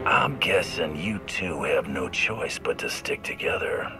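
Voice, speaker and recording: A man's voice: very deep voice, expressive, a little slow, music in the background. A man playing a tough mercenary bounty hunter in a video game, terse, dry and cool.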